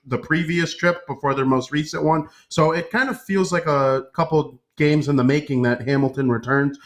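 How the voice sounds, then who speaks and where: Male sports co-host, medium pitch, casual and opinionated analysis. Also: fairly bright tone.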